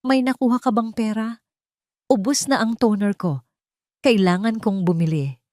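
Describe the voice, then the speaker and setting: A woman's voice: medium pitch, normal speed, dark tone, no echo, studio quality, voice pushed up, natural voice. A woman voicing a lazy, sarcastic young mistress who mocks and sneers as she talks. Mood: demanding. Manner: mocking, sneering.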